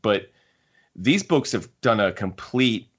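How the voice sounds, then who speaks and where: Muffled, low-voiced male podcast host. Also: expressive, takes it slowly, slightly rough. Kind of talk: casual panel discussion of books. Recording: muffled lo-fi audio.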